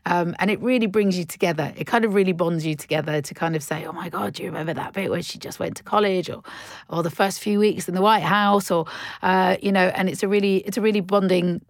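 A woman with a slightly low voice gives a chatty, personal recommendation. She gushes and repeats words for emphasis, and the recording is very dry. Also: fairly even intonation, fast, creaky, no room sound. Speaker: radio presenter.

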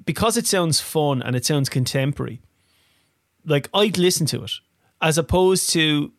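A man hosting a casual interview, chatty and quick to laugh, with many restarts. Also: on the high side, lively intonation, dry recording, studio quality. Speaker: podcast host.

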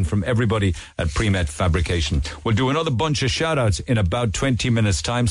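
A man with a Cork Irish accent hosting a radio show, reading listener shout-outs and teasing callers. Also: lowish voice. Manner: brisk, jokey, teasing. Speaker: radio host. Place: radio studio.

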